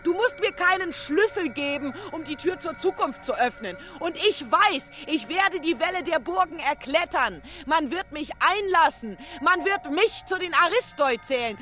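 A young fighter with a high, sharp voice. She declaims proudly and fervently, as in a radio play, with faint music underneath. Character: young defiant fighter praying for strength, proud. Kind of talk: radio drama monologue. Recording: studio voice over faint music.

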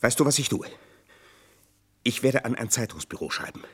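Husky old butler type for radio drama, aggrieved, stilted and theatrical, very animated pitch. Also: male voice, normal speed. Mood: defiant, aggrieved. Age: older. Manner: theatrical, stilted formal phrasing, indignant. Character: aggrieved ageing manservant and secret lover, indignant, self-pitying.

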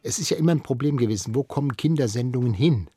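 Older man explaining, slightly husky. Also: mid-range voice, lively intonation, fast, warm tone, no echo. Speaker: radio veteran interviewed as expert. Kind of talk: conversational explaining.

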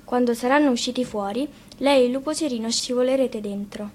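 A woman's voice: fairly high pitch, fairly quick, natural voice. Woman as a confident, bossy little boy, noisy recording. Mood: firm, instructing. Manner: matter-of-fact, firm. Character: confident, bossy six-year-old boy in a fairy tale.

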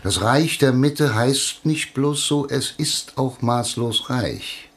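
An actor playing a self-important, scheming newspaper boss in a German radio play, pompous and emphatic, repeating himself for effect. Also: male voice, medium pitch, slow pace, close to an everyday voice. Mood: sly, speculative.

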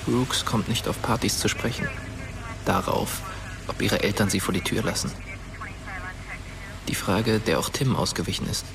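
A man playing the brooding ghost of a dead teenage boy, narrating a radio play in a hushed, intimate way. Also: on the low side, normal speed, pauses often, close to an everyday voice. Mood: somber, observing. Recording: music behind the voice.

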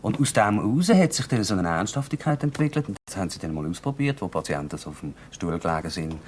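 A man explaining a case in an old TV interview, growing animated when he stresses a point. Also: on the low side, fast, husky. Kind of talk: archival TV interview.

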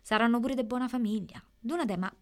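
An actress playing an excitable, naive teenage girl with a Roman accent, gushing and colloquial, in a radio play. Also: a little high, quick pace, close to an everyday voice. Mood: gossipy, hopeful.

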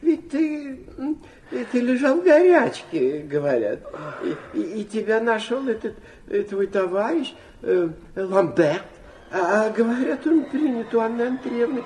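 An actor playing a hesitant, gossiping man in a radio play, rambling and halting with many hems. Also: extremely high pitch, big pitch swings, a little mellow, full character voice. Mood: dithering.

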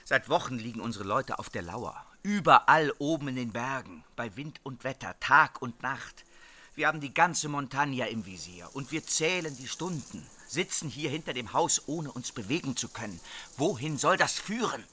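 A man's voice: mid-range voice, expressive, normal speed. An actor playing an exasperated police surveillance officer reporting to his chief in a radio crime drama. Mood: frustrated, insistent. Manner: forceful, frustrated.

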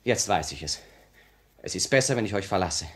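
An actor in a 1950s German radio play playing a tired, frightened, ashamed revolutionary in an anguished, confessional manner. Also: male voice, low-pitched, expressive, brisk. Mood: despairing, agitated. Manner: confessional, anguished, dramatic swings from hushed to outbursts.

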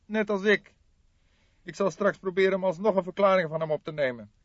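A man's voice: sits high, brisk, dry recording, highs cut off, voice pushed up, lightly acted. A man voicing a young male police detective, shaken and urgent, in an audiobook. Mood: troubled, reporting. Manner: breathless, urgent.

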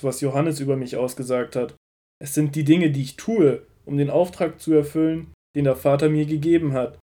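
A solemn, authoritative male teacher played by an actor in a Bible audio drama. His voice sits a little high for a man, and he declares his words earnestly, with calm assurance. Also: close to an everyday voice. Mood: earnest, admonishing.

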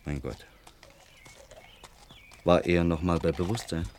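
An actor playing a proud, earnest young gentleman, a well-bred son, in a radio drama. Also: sits low, slow pace, slight background noise. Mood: shaken.

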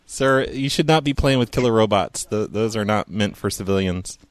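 A man's voice: lowish voice, normal speed, dry recording. A man prank calling in a mock-serious, scolding and insistent manner. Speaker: prank caller.

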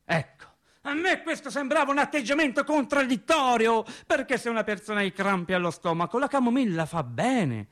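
High-voiced, exasperated working-class husband. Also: male voice, a little fast. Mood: wry, reminiscing. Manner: animated, hesitant repetitions, comic indignation. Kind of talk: theatrical monologue for radio, colloquial storytelling.

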